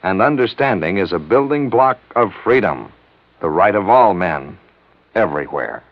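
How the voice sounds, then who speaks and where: A man reads a public service message on a vintage broadcast. His voice is fairly low, with an earnest, measured delivery and lively pitch movement. The sound is dry and dull. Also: no room sound. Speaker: announcer. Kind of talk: scripted public service announcement.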